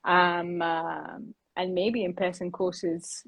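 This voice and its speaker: A woman, co-founder of a bamboo architecture school, explaining plans in a relaxed interview. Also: on the low side, slow pace, husky, no echo. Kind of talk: casual interview talk.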